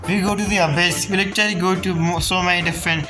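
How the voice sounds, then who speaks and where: A woman's voice: fairly low pitch, fairly even intonation, quick pace. A woman giving casual, slangy reactions as she plays a video game, with a laid-back, wry manner. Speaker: gaming content creator. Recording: game music behind the voice.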